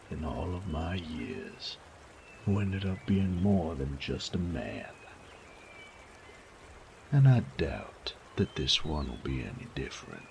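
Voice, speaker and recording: Man playing a very deep, gravelly bandit. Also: darkish tone, a little hiss or hum, exaggerated voice. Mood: dismissive, cocky. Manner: slow, taunting drawl with folksy, profane phrasing. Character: sadistic male bandit, cocky, teasing, menacing rogue. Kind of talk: intimate roleplay audio drama.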